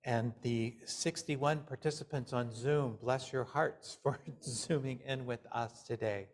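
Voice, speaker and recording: A male minister delivering a sermon in deliberate, measured phrases with pauses. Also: mid-range voice, steady tone.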